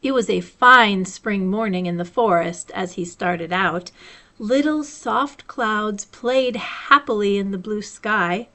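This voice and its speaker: A woman reading a children's storybook aloud as a library storyteller, with measured, deliberate phrasing. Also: on the high side, bright, forceful tone.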